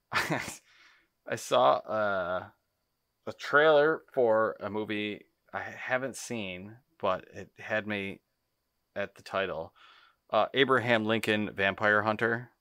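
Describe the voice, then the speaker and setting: Crisp, bright man in a dry setting. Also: fairly low pitch, slow pace, no room sound. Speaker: podcast co-host. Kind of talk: casual podcast chat.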